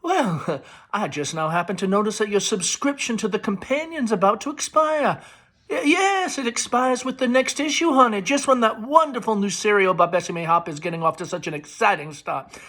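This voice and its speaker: A man's voice: high-pitched, animated, moderate pace, pushed and bright, voice pushed up, full character voice. A man voicing a middle-aged Southern mother from a stage play, chattering in a gushing, theatrical way. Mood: gushing, wheedling. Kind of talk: play read-aloud.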